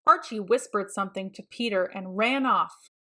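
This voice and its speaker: A woman reading a picture book aloud for children as narrator, in an expressive, deliberate storytelling manner. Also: medium pitch.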